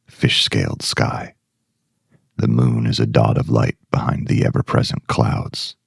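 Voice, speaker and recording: An actor playing a brooding, obsessed male writer in a dark dramatic monologue, speaking deliberately and ominously in a husky, gravelly voice. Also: a bass voice, no echo, clean studio sound, exaggerated voice.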